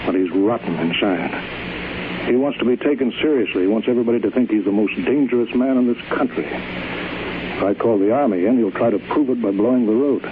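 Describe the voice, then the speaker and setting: An actor playing a steely, resolute chief engineer in a commanding, clipped old-time radio drama style. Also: male voice, fairly low pitch, fairly quick, lightly acted. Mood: grave, resolved. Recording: muffled, noisy old radio recording.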